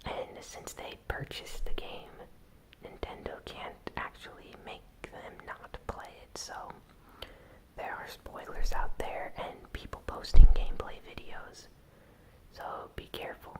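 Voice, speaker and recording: A host whispering a gaming news recap in ASMR style, with soft, unhurried, deliberate phrasing. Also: poor audio.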